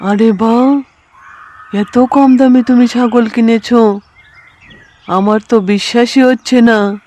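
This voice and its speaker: A woman voicing an impressed village housewife in a cartoon horror story, exclaiming in a drawn-out way. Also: on the high side, steady tone, darkish tone. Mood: amazed.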